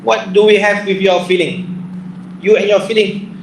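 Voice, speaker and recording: A man's voice: sits high, level tone, normal speed. A man with a Singaporean accent answering questions as a religious teacher, emphatic and repetitive, often putting rhetorical questions to his listeners. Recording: roomy echo, distant mic, faint noise.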